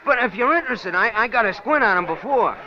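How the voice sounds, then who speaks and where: An actor playing an excitable, talkative street bystander with slangy, eager speech in a radio detective drama. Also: male voice, very high voice, quick pace, exaggerated voice. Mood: eager, gossipy. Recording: dull radio recording, street crowd behind.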